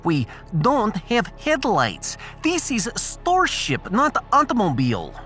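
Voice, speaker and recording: Woman as skeptical starship doctor, blunt, dry wit, unhurried, animated intonation, clipped phrasing, sci-fi audiodrama, faint music. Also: a little high, exaggerated voice.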